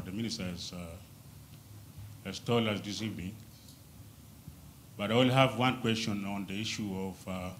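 A man from South Sudan stands in the audience to ask a panel a question. His delivery is formal and deliberate, with pauses between phrases. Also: on the low side, a bit bright, a little hiss or hum. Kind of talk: public question at a forum.

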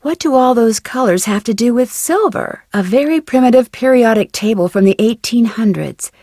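A young woman with an American accent playing a curious, upbeat teenage girl detective in an adventure game, speaking in a clear, inquisitive way. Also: on the high side, expressive, moderate pace, warm tone, no echo, clean studio sound. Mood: puzzled, curious. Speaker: video game voice actor. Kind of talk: scripted adventure game lines, thinking aloud.

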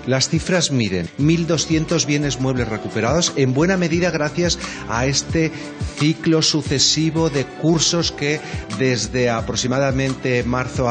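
A man giving explanatory interview answers as a foundation representative, with measured, emphatic phrasing. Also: medium pitch, over music.

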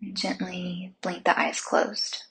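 Very breathy, slow woman guiding meditation. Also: on the low side, steady tone. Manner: soothing, unhurried, gentle instruction with long pauses. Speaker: guided meditation host.

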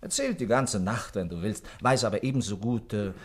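An actor playing a caring, persuasive gentleman doctor in a German radio play, solicitous in manner. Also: on the low side, brisk, lightly acted. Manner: solicitous, coaxing, chuckling. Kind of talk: radio play acting and first-person narration.

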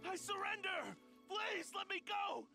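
A man's voice: very high-pitched, animated, measured pace, gravelly, heavily acted. An actor playing a cowardly male henchman in a game trailer, frantic and pleading for mercy. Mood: terrified, pleading. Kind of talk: game character trailer acting. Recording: game trailer under music. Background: music.

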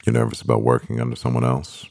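A man acting a composed, doting CEO boyfriend in an ASMR roleplay, speaking in a measured, gravelly voice. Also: monotone, a little mellow, no echo, clean studio sound, full character voice. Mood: composed, businesslike. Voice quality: very deep, gravelly. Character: powerful male CEO boyfriend, composed, doting, gently teasing.

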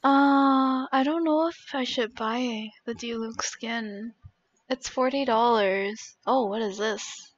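A woman's voice: a little high, slow pace. A young woman live-streaming a game in casual, reactive chat, swearing casually, on slightly dull lo-fi audio.

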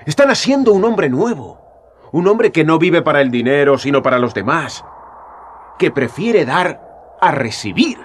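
An actor playing a young, earnest Jesus in a radio drama, talking with passionate urgency. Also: male voice, a little high, sing-song, a little slow, slight background noise. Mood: solemn. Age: young adult.

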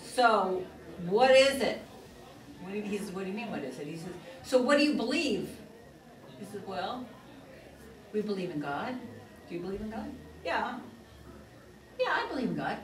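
A woman's voice: medium pitch, sing-song, moderate pace, pauses often. An older woman, a nun, telling a long story to a class. She keeps stopping to say "he says" as she recounts the dialogue. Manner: rambling, repeats "he says" while retelling dialogue. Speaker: religious teacher, nun. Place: plain meeting room with tables. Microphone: far camera across the room. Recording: echoey room, far-off mic, listeners audible.

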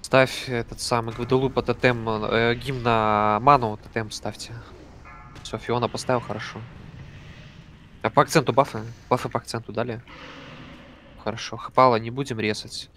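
A man leading a game raid over voice chat, giving brisk commands and swearing casually. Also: mid-range voice, level tone, fairly bright tone, a little hiss or hum. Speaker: raid leader.

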